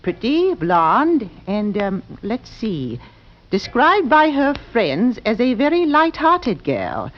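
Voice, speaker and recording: An actress playing an anxious middle-aged London housewife in a 1940s radio drama, fretful and emphatic. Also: female voice, on the high side, wildly swooping pitch, slow, exaggerated voice. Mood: anxious, gossipy. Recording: dull, noisy 1940s radio recording.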